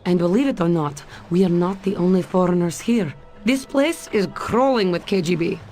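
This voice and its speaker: A woman's voice: fairly low pitch, moderate pace, a little mellow, soft background music, natural voice. A woman playing a shrewd Soviet spy in a video game, speaking with a Russian accent in a guarded, wry way. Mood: patient, cautioning. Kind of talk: video game cutscene voice acting.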